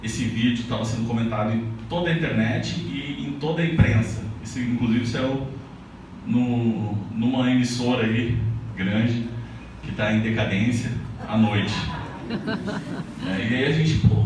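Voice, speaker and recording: A man from Paraná talks casually to a live audience at an indoor event, often breaking off and restarting his sentences. His voice is heavily echoey and sounds far from the mic, with clear room ambience behind him. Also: mid-range voice, background noise. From Paraná, Brazil. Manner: loose and conversational, with frequent restarts. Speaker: author at a book launch. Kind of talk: speech to an audience.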